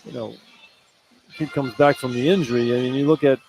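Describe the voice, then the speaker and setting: A man's voice: mid-range voice, fairly even intonation, brisk, a little mellow, slight background noise, low-quality recording. A man doing unscripted halftime radio commentary on basketball in a conversational, blunt and critical manner.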